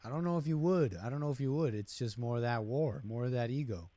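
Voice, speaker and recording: A man's voice: medium pitch, fast talker, warm tone, no echo. A man hosting a call-in show, talking casually and thoughtfully, hedging his points with many fillers.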